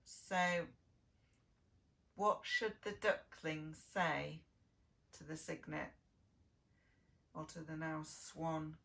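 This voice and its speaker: A woman reading a children's storybook as a preschool lead, with sing-song delivery, dramatic pauses and simple questions for young listeners. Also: sits low, steady tone, gravelly, a bit bright. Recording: distant microphone.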